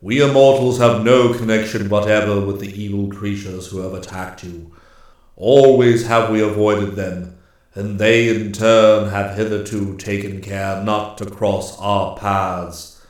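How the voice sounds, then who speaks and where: A man voicing a grave, ancient immortal master of the forest in a storybook, speaking in stern, stately, deliberate pronouncements. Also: on the low side, fairly even intonation, heavy reverb, natural voice. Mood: grave, approving. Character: grave, commanding ancient immortal master woodsman, bearded male.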